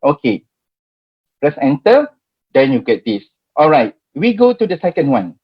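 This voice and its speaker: A man with a Malaysian accent walks learners through spreadsheet formulas in a chatty, animated way, checking in often with "okay?". His voice sits a touch high and swings widely in pitch. The sound is dull and lo-fi, like an online stream. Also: measured pace, breathy edge. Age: 40s. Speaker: Excel trainer. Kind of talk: live screen-share spreadsheet tutorial.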